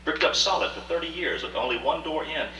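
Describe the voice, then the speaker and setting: A proud, self-justifying older gentleman collector acted by a man in a radio play. The voice is very bright and forceful, with formal, sweeping theatrical phrasing. It is recorded from a distance in a roomy space with faint hum. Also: a little high, fairly quick. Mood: brooding. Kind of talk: live radio play acting.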